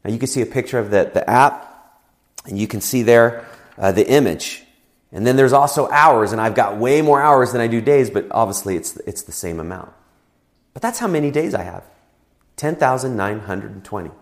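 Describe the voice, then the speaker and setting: A man in his forties gives a sermon as a pastor, and his pitch rises and falls a lot as he speaks. He talks in a conversational way and keeps asking his listeners questions. His voice sits in a middle register. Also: lively intonation, moderate pace. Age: 40s. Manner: conversational preaching with rhetorical questions.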